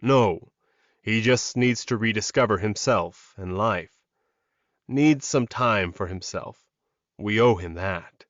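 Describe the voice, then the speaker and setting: A man voicing a calm, protective male werewolf alpha in an audiobook, measured and reassuring. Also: lowish voice, dry recording, highs cut off. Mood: calm, firm.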